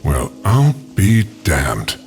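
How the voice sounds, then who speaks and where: A man's voice: low-pitched, huge pitch swings, darkish tone, faint music behind, full character voice. A man playing a big, level-headed mercenary leader, dry and diplomatic, in a fantasy audio drama. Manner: measured, dry, authoritative.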